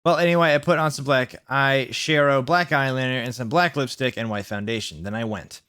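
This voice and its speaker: A man hosting a comic read-aloud of fan fiction, sardonic, with mocking asides and deliberately kept mistakes. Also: mid-range voice, a bit bright, clear, resonant tone. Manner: deliberately reads out misspellings, sardonic.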